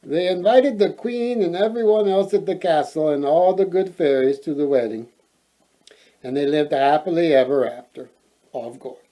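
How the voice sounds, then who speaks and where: A man reading a children's fairy tale aloud in a playful, expressive way, adding joking asides. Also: a little high, moderate pace, low-quality recording. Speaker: storyteller, host.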